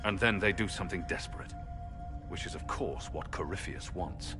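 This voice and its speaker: A man's voice: sits low, moderate pace, close to an everyday voice. An actor playing a wry, outspoken male adventurer in a video game, with pointed delivery. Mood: wry, concerned. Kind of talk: dramatic video game dialogue. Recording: background music under the voice.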